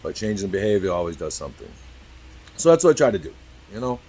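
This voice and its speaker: A man in his early fifties talking candidly to camera as a vlogger, halting and repeating "you know" constantly, swearing freely. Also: fairly low pitch, sing-song, darkish tone, breathy edge. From Cambridge. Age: 50s. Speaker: YouTube vlogger. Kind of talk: rambling candid talk to camera.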